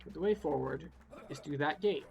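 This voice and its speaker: A man's voice: a little high, moderate pace, gravelly, a little mellow, dull audio, faint music behind. A man giving step-by-step playthrough commentary as a video game guide, explaining things in an instructive way.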